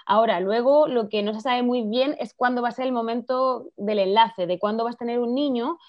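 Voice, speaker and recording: A woman's voice: a little high, fairly quick, dry recording. A woman with a non-Chilean Spanish accent, a filmmaker answering radio interview questions in long, earnest explanations. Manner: fluent, earnest explaining with many clauses. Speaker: filmmaker and campaign director, interview guest. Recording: dry, dull lo-fi radio audio.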